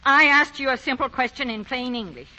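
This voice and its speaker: A muffled vintage radio recording of a fussing middle-aged mother. Her voice is high and sharp-edged, exasperated yet loving, in old radio drama style. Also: brisk. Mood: exasperated scolding. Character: fretful, scolding, loving mother of grown sons. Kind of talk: 1940s radio acting.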